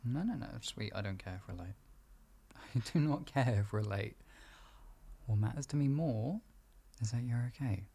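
A man playing a gentle, doting boyfriend in an improvised ASMR roleplay, coaxing softly and reassuringly in an intimate, husky, gravelly voice. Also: mid-range voice, lively intonation, moderate pace, pauses often. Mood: gentle concern. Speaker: voice actor in audio roleplay.

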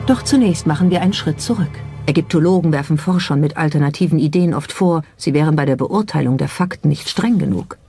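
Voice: mid-range voice, a little fast, few pauses, warm tone. Scripted documentary narration, mid-range voice, music behind. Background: background music.

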